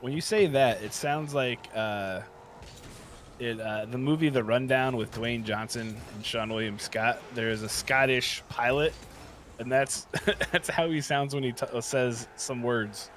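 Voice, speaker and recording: A man's voice: mid-range voice, unhurried, a bit bright, slight background noise. A man co-hosting a morning show in a casual, playful style of banter and news reading.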